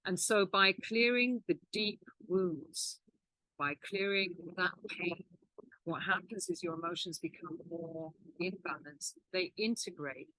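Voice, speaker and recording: A woman co-hosting a healing channel who explains things in a calm, reflective way. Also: fairly low pitch, measured pace, dry recording, low-quality recording. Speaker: healing course teacher and co-host. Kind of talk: teaching conversation.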